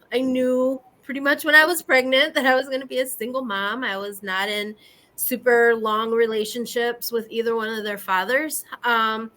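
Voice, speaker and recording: A woman from the US Midwest whose first language is Spanish, speaking as a guest on a video call panel in a reflective, earnest way with frequent pauses. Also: on the high side, bright, forceful tone. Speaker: guest panelist, community organizer. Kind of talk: casual panel chat.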